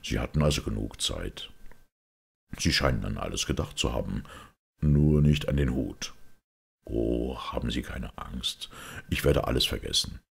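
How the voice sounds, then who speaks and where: A man playing a calm, shrewd priest detective who explains quietly and with compassion, in a measured audiobook reading. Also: rumbling low, gravelly, slightly rough, heavily acted. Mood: calm, deliberate.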